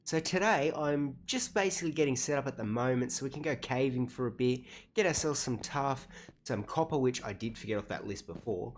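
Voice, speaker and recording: A chatty Australian streamer in their late twenties, talking through a game at a mid-range pitch. The delivery rambles with fillers and turns self-deprecating now and then, with a faint hum of ambience behind. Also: brisk. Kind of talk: casual livestream commentary while playing.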